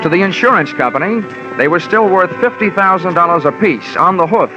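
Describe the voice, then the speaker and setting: A man's voice: mid-range voice, close to an everyday voice. An American actor playing a wry, hard-boiled male insurance investigator in an old-time radio detective drama, with a sardonic, hammed-up delivery. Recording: dull vintage radio recording, music behind.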